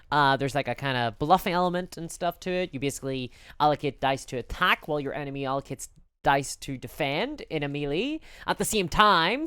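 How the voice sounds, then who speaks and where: A woman with a Belfast accent, chatting casually and candidly as a live streamer in an animated, conversational way. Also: deep voice, clean tone, no echo, clean studio sound. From Northern Ireland. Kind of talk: casual chat to a live audience.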